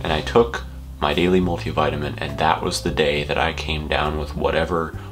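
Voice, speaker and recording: A young man with a very deep voice talks to camera as a vlogger. He rambles casually from one little story to the next with dry, self-deprecating wit. Faint music sits behind his voice. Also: level tone, normal speed. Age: young adult.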